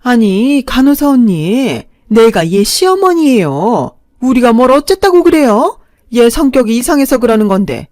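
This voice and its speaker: A woman's voice: on the high side, sing-song, a little slow, few pauses, a little mellow, no echo, clean studio sound, voice pushed up. A woman voicing a scheming, greedy older mother-in-law who talks down to her daughter-in-law, in a story reading. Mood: scolding. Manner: scolding, condescending.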